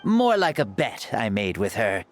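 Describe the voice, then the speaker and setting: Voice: mid-range voice, huge pitch swings, measured pace, soft background music. An actor playing a spirited ancient hero living in a borrowed young body, with a playful, theatrical way of talking in a video game. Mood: proud, reflective. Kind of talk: scripted video game dialogue.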